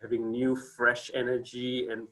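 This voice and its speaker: A man's voice: mid-range voice, monotone, measured pace, a bit bright, rough recording. A man in his forties from Singapore, giving a casual company presentation on a video call, hesitant with many fillers and restarts.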